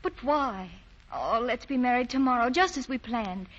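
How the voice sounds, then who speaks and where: An actress playing a devoted young fiancée with earnest 1940s radio delivery. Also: female voice, fairly high pitch, animated, normal speed. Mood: concerned. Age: young adult. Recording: muffled, hissy 1940s radio recording.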